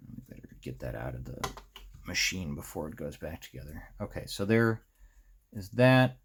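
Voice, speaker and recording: An American man giving a casual, unscripted hands-on tutorial, thinking aloud in a halting, rambling way as he works. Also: deep voice, very animated, gravelly, background noise. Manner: halting, rambling, talks to himself while working. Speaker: host of a hobby electronics how-to series.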